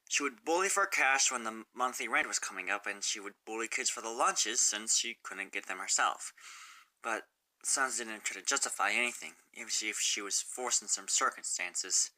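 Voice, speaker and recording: A man's voice: lowish voice, sharp tone. A man reading a sad story aloud as a scripted narration, in a dramatic way with the odd stumble. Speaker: fan fiction narrator.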